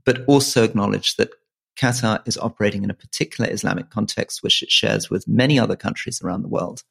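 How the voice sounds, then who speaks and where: A man who grew up in Malaysia co-hosting a casual political podcast, earnest and halting, often restarting and repeating words. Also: on the low side, vocal fry, a bit bright, slightly rough. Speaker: podcast co-host.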